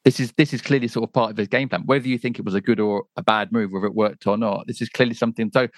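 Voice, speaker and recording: A chatty podcast host with a slightly low voice, talking football in a casual, rambling way. His voice is recorded close and dry, with no room sound. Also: steady tone, racing, no echo. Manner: chatty, lots of restarts and asides.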